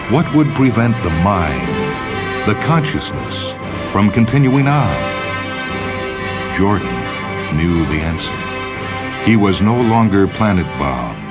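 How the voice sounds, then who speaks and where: A man reading a science fiction story as narrator, in a solemn, measured dramatic style. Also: deep voice. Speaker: narrator, short story reader. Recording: music under the voice. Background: music.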